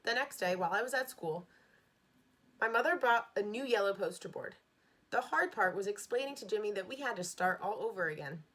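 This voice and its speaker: Bright-voiced woman reading a children's book. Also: mid-range voice, a little fast. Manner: steady, expressive storytelling. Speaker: reader. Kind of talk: reading a children's novel aloud.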